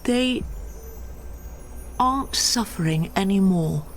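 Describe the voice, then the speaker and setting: A woman voicing a composed, resolute female elf heroine in a video game, measured and brief. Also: mid-range voice, lively intonation, a little hiss or hum. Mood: determined. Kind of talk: video game cutscene voice acting.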